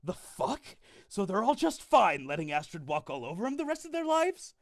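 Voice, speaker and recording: A man's voice: sits high, sing-song, moderate pace, dry recording, clean studio sound. An actor playing a brash, blunt, foul-mouthed male fighter in a fantasy audio drama. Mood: indignant.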